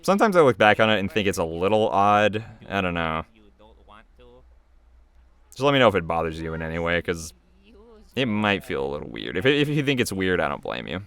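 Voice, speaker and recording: A man's voice: low voice, very animated, brisk, long pauses, fairly bright tone, smooth. A man giving casual, off-the-cuff commentary as a video creator, chatty and rambling.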